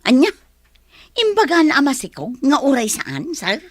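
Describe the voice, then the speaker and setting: A woman's voice: high-pitched, huge pitch swings, normal speed, no room sound. A woman playing a flustered, giggly housemaid with a teasing manner in a radio drama. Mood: curious, teasing. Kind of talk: Philippine radio drama acting.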